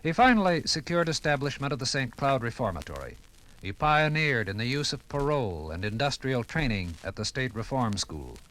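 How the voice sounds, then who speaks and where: A male documentary narrator in 1950s radio style. He phrases formally and deliberately with a dramatic touch, his mid-range voice swinging through very lively inflection. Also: mid-range voice, a little hiss or hum, poor audio.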